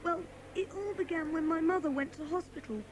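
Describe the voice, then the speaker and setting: A high, childlike voice from a woman acting as a frightened young runaway boy. He is plaintive and guarded, with a streak of defiance, in a children's audio drama. There is faint noise behind him. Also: brisk. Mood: plaintive, confiding.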